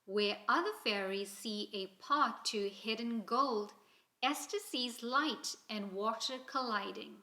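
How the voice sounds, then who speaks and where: An echoey room carries this woman's storybook narration. Her voice is fairly high and bright, and she reads expressively and deliberately for young listeners. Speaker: storybook reader. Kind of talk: children's story narration, read aloud. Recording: roomy, echoing indoor sound.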